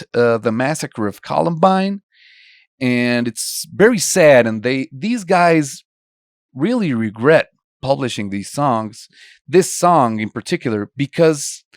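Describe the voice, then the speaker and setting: Colombian-born male tutor, young, slightly high voice with a lot of melody, dry close sound, conversational teacher. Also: no room sound. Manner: conversational, asks students many questions. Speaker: songwriting instructor. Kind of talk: online video lesson.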